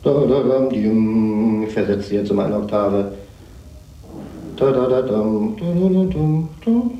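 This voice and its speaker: A man's voice: fairly low pitch, expressive, slow pace, reverberant, muffled recording, slight background noise. A man casually singing a symphonic theme from memory in wordless syllables, as an interviewee.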